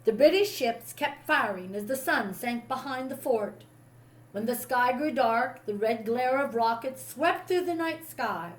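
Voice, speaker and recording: A woman's voice: on the high side, animated, a little slow, low-quality recording. A woman reading a children's story aloud like a teacher, with dramatic emphasis on key moments. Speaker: teacher reading a picture book to a class. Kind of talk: expressive read-aloud storytelling.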